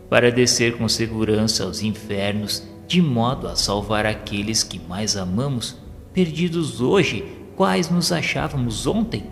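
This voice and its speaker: A man narrating an audio novel in a solemn, formal, measured, scripted dramatic style. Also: mid-range voice, creaky, a bit bright. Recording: long reverb with faint music behind. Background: music.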